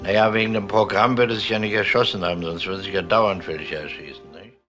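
An actor playing a dry, polite, methodical police detective questioning people in a German crime radio drama. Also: male voice, low voice, steady tone, brisk, a bit bright, soft background music. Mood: dry, probing.

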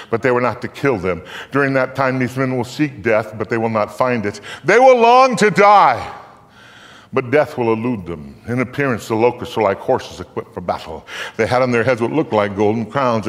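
Solemn older man declaiming in a reverberant hall. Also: medium pitch. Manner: solemn, declamatory, with deliberate pauses and swells. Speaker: dramatic reader. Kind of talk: live theatrical recitation of scripture. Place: on a stage in a curtained theater. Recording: reverberant hall, long echo.

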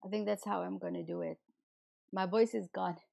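A hoarse woman who is losing her voice hosts a livestream and rants indignantly, firing rhetorical questions at her viewers. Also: mid-range voice, steady tone, normal speed, darkish tone. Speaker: livestream host. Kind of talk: heated casual livestream rant.

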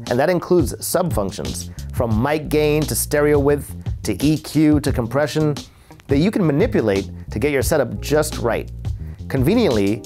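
A man's voice: mid-range voice, a little mellow. A middle-aged American man presenting a product review in scripted narration, recorded in a studio.

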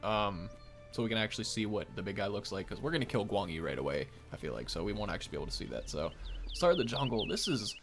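A man's voice: lowish voice, expressive, fast talker, over music. A man, giving informal, enthusiastic game preview commentary. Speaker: gaming video host. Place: small indoor room at a desk. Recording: faint background noise and clicks.

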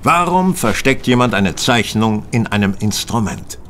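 A man's voice: fairly low pitch, animated, unhurried, fairly bright tone, a little hiss or hum. An actor playing a confident, methodical male detective in an audio drama, lecturing with precise articulation. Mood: assured, explaining.

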